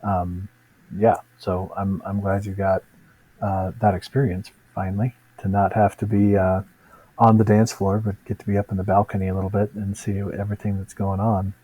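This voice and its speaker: Male podcast host, low voice, mellow tone, thoughtful and affirming. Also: flat delivery, normal speed, husky, rough recording. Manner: thoughtful, affirming, frequent "mm" and "yeah". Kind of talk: conversational interviewing.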